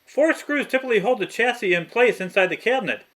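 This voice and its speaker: A man's voice: high voice, expressive, fast talker, a bit bright. A man reading a scripted, step-by-step technical tutorial narration.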